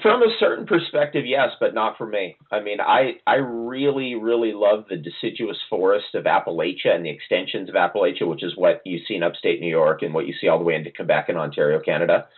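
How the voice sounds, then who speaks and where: A man from New Jersey chats as an interview guest in a low, easygoing voice. He rambles and restarts often, filling pauses with ums. The sound is narrow and phone-like. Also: breathy edge. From New Jersey, USA. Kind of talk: casual interview answers.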